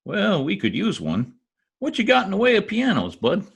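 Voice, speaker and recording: Man playing a gravelly, cocksure radio comedy husband. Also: sits high, huge pitch swings, normal speed, heavily acted. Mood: boastful, breezy. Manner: slangy, folksy, cocksure. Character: brash, boastful, folksy middle-American husband. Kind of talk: old-time radio comedy acting. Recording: video call recording.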